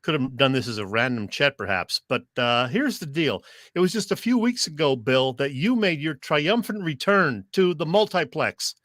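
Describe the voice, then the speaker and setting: A man's voice: a little high, lively intonation, normal speed, fairly bright tone, no echo, clean studio sound. A man co-hosting a sports radio show, chatting casually and conversationally with a joking streak.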